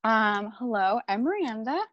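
A woman's voice: fairly high pitch, animated, measured pace, dull audio. A young woman from France as an interview guest, talking casually over a video call with lots of ums and laughs. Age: young adult. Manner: chatty, full of ums, laughs often. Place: home room with art on the wall. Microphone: laptop or phone camera on a video call.

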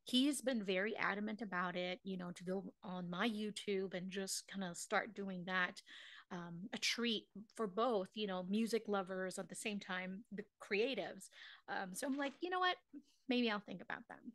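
A woman's voice: medium pitch, normal speed, a bit bright, no echo. A middle-aged woman from the Philippines teaching a live online drawing class, chatting with viewers, laughing at her own drawings and singing bits of songs as she works. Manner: chatty and self-mocking, laughs often, sings snatches of songs while drawing. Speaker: online craft class instructor.

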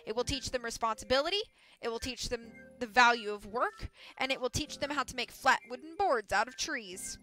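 A blustering storybook mill boss, played by a woman, bright and forceful. He is brusque and bossy, leaning hard on his words and thoroughly pleased with himself. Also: on the high side, fairly quick, faint music behind. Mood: sharp, dismissive. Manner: brusque, loud, emphatic. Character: blustering, bossy mill owner, a self-satisfied man.

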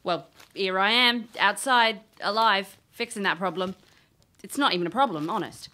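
A woman's voice: mid-range voice, moderate pace, pushed and bright, natural voice. A woman playing a confident, wry elf investigator in a fantasy audio drama, offhand and understated. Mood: evasive, casual.

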